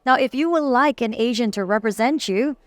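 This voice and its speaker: A woman, a company CEO pitching her business in an interview, polished but with frequent fillers. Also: brisk, dry recording. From Singapore. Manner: polished, promotional, uses many fillers.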